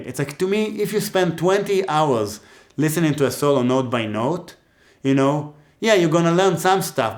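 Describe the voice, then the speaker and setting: A man with an Israeli accent teaching music, talking casually and joking as he gives advice. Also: mid-range voice. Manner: chatty and joking, with many asides. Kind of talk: casual teaching talk to camera.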